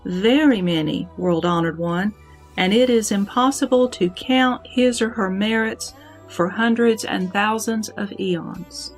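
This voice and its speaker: A woman plays a devout celestial protector in a sutra audio drama. The delivery is slow, solemn and reverent, at a middle pitch, with music playing underneath. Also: natural voice. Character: Sakra, devout deity and protector pledging to the Buddha.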